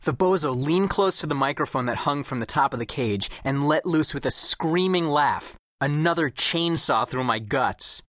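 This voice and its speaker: A man's voice: on the high side, lively intonation, normal speed, few pauses, a bit bright. An actor playing an observant, wry teenage boy who tells his own story as scripted audiobook narration. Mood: wry, descriptive. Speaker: first-person audiobook narrator.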